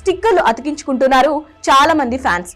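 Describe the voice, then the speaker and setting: A woman's voice: high voice, animated, very fast, a bit bright. A woman narrating a news report in a reporter's scripted style.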